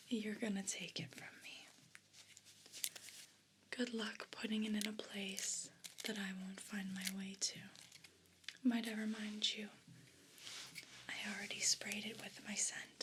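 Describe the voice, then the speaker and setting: A woman's voice: medium pitch, pushed and bright, a little hiss or hum. Woman whispering as a haughty evil pet cat. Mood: indulgent, smug. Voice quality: breathy whisper. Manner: imperious, slow and deliberate condescension. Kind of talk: ASMR role play.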